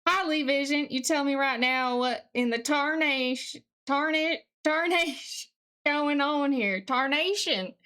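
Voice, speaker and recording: A woman livestreamer chatting casually and reading aloud in an exaggerated, put-on deep Southern US accent, with a playful, folksy manner. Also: unhurried, bright, forceful tone. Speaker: live streamer.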